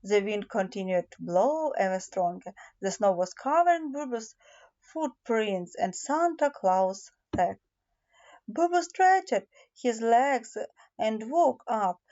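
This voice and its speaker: A woman reads a children's fairy tale in a fairly high, soft-edged voice. She takes it slowly and deliberately, stumbling slightly now and then. The recording is a little dull and homemade. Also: expressive. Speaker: audiobook narrator.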